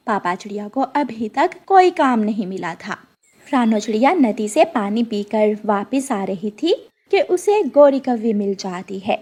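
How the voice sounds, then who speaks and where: Very high-pitched woman narrating a children's story. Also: lively intonation, measured pace, dark tone.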